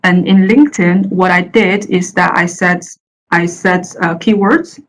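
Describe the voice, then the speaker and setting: A woman's voice: lowish voice, steady tone, measured pace. A woman from China whose first language is Chinese, giving a presentation talk full of fillers and restarts. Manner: hesitant, many uh fillers and restarts. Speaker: panel speaker. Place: the Netherlands.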